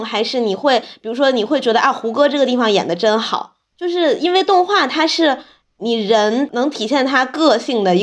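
A woman with a slightly high voice chats as a podcast host. She speaks casually, putting questions to the others and arguing through examples. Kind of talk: casual discussion.

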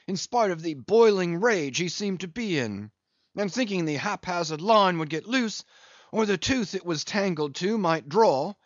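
A man voicing a cheerful one-armed English sea captain who spins a lively yarn. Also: high voice, no room sound, muffled recording, pitched above the performer's own voice. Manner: hearty, lively yarn-spinning.

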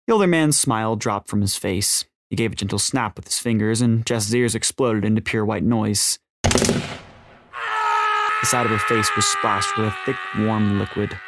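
A man's voice: lowish voice, wildly swooping pitch, unhurried, a bit bright. A man reading scripted fiction as an audiobook narrator.

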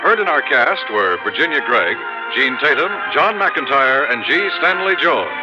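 A man's voice: medium pitch, big pitch swings, normal speed. An American man reading scripted credits as a 1950s radio announcer. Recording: dull vintage 1950s radio recording. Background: music.

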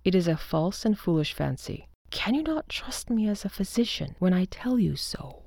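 A woman reading the lines of a calm, condescending husband who is a physician, soothing and dismissive in tone. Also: medium pitch, normal speed, vocal fry, no room sound, clean studio sound. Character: calm, patronizing husband physician, adult man.

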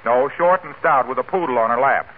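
A man's voice: medium pitch, fairly quick, a little hiss or hum, close to an everyday voice. An actor playing a cheerful salesman friend who plugs products relentlessly, in 1940s radio comedy style. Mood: chatty. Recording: tinny vintage radio broadcast.

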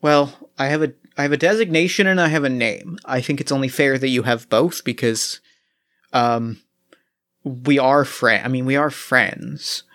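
Man voicing a calm male android pilot, calculating, halting delivery, formal phrasing, mid-pitched steady voice. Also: lightly acted. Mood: earnest. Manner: halting, measured, oddly formal.

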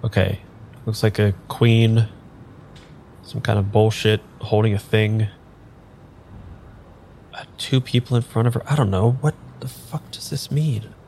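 A man's voice: lowish voice, fairly even intonation, unhurried, pauses often, darkish tone, slight background noise. A man in an improvised audio drama playing a frantic, foul-mouthed amnesiac who stammers and mutters as he works through tarot cards. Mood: frustrated muttering. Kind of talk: improvised audio drama, halting naturalistic delivery.

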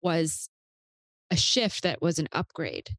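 A woman hosting a podcast interview, responding in a warmly affirming, spiritual way. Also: deep voice, animated, slow pace, no room sound, clean studio sound. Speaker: podcast host.

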